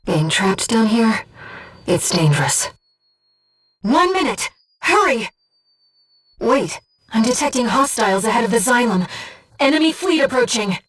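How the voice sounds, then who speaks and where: Soft-edged, husky, measured game companion. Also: female voice, on the high side, sing-song, distant mic, exaggerated voice. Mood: soft, grave, then urgent alert. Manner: measured, earnest, precise, gently formal. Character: calm, devoted female disembodied voice guiding a pilot. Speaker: mission support companion. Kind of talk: scripted video game voice acting.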